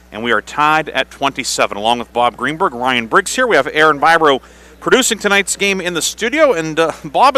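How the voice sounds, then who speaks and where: A man calls a high school game for radio at halftime. His voice is bright and sits slightly high. He talks in halting bursts, circling back over his phrases as he hosts. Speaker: radio sports play-by-play host. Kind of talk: live halftime sports commentary.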